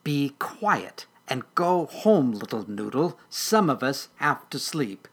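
A storyteller voicing a tired, grumpy furry male creature with a soft heart, grumbling and muttering in exasperation. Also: mid-range voice, very animated, takes it slowly, breathy edge, full character voice.

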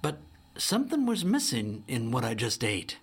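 A man's voice: mid-range voice, wildly swooping pitch, moderate pace, husky, full character voice. A man voicing a sly, smiling male bear who speaks in rhymes, in a storybook.

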